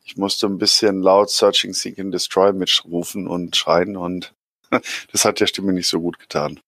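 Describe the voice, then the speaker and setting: A man with a hoarse voice chatting casually on a podcast, excited and joking as he rambles. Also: deep voice, unhurried, no room sound. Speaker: podcast co-host. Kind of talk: enthusiastic casual chat.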